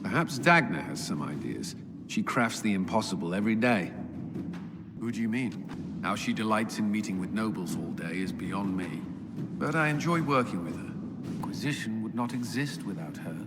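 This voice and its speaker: A dutiful fantasy army commander with a fairly low voice. He speaks in a measured, formal and clipped military way, earnest and serious about his duty. The sound has long reverb and noisy ambience, as in a video game. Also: expressive. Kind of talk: dramatic video game voice acting.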